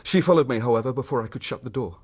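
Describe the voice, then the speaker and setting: Actor as a troubled, husky Victorian British husband. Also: male voice, mid-range voice, rapid-fire, a little mellow, natural voice. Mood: hurt, grave. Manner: earnest, formal, emotionally wounded. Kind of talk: radio drama acting, narrating his own story.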